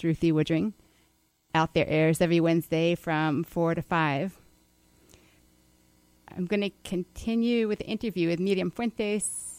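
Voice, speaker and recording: A woman hosting a community radio show, calmly introducing segments and giving plain station announcements. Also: fairly low pitch, clean tone, dry recording. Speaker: radio host. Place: radio studio.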